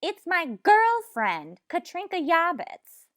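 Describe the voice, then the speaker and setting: A woman's voice: very high-pitched, huge pitch swings, slow, no room sound, studio quality, exaggerated voice. An actress playing a man-hungry, easily indignant single woman in a theatrical old-time radio comedy style. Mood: indignant, picky.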